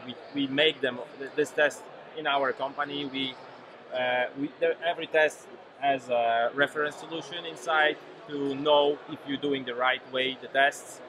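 A man presenting products informally as a company chief, halting and repeating words in English. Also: mid-range voice. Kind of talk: informal product demonstration in an interview. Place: busy expo hall, at shop shelves. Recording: noisy expo hall ambience behind.

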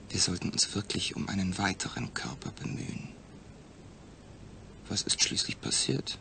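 A man acting in a German audio drama as a calm, rational astronaut, speaking in a measured, explanatory way. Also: low voice, creaky, slight background noise, exaggerated voice. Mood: pensive, hushed.

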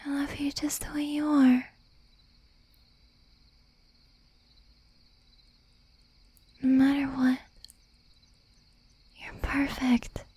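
A woman playing a tender, possessive young demon girlfriend in an affectionate, whispered ASMR roleplay, soft and breathy. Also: high-pitched, little pitch movement, slow pace. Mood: tender, reassuring.

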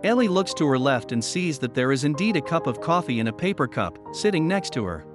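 A man's voice: fairly high pitch, sing-song, a little fast. A man reading scripted story narration with a dramatic storytelling delivery. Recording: voice-over with music bed. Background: music.

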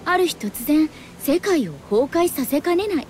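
Otherworldly anime-game girl: very high voice, playful yet solemn, animated, over noisy ambience. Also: unhurried. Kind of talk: anime video game voice acting.

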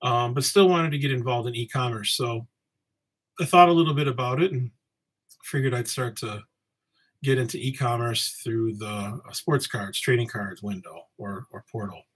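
Man, forties, from Samoa, husky voice, relaxed, rambling, podcast host, home office. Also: medium pitch, normal speed. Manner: relaxed, rambling, many ums and uhs. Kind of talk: casual solo podcast talk. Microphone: webcam framing, seated at desk.

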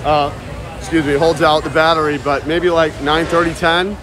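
A man talking loudly and casually to viewers as he live-streams while walking around. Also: on the high side, little pitch movement, normal speed. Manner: loud, chatty, talks to viewers and chat. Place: outdoors at a busy shopping area, later indoors. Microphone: phone held while walking. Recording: phone audio with crowd noise. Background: crowd chatter.

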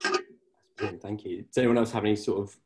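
A man's voice: on the low side, fairly even intonation, fast. A young man in his twenties moderating a panel over a video call from his living room, asking loose, hedging questions full of fillers.

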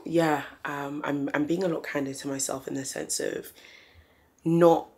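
A woman vlogger with a low, husky, raspy voice. She talks in an unhurried, thoughtful way as she closes out her weekly vlog. She speaks straight to her viewers. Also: fairly even intonation, darkish tone. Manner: thoughtful, unhurried, faith-centered reflection. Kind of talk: reflective vlog sign-off.

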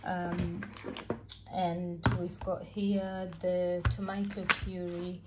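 Slow, halting woman with a flat voice. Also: fairly low pitch, heavy background noise. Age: adult mother. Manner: unhurried, many ums and uhs. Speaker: vlogger showing a grocery haul. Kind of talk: casual chat to camera. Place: home, at a table with groceries.

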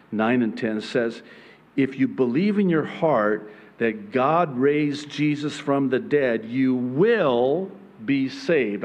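Slow-preaching pastor with an Arabic accent. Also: male voice, mid-range voice, muffled recording. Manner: humorous, rising to emphatic near-shouting peaks. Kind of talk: sermon. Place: church stage behind a pulpit. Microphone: pulpit microphone.

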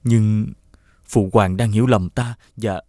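A man playing a humble, forgiving young prince in an animated fairy tale, speaking formally and earnestly. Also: lowish voice, slow pace, no echo. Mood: humble, tender. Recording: clear music behind the voice.

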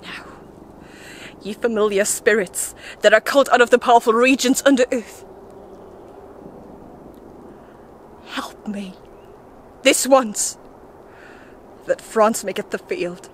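Over clear background noise, a woman acts out a desperate young warrior woman in a Shakespeare monologue. The voice is fairly high and very unhurried, theatrical, moving between hushed and imploring delivery. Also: lightly acted. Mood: imploring summons. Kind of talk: solo Shakespeare monologue, dramatic recitation.

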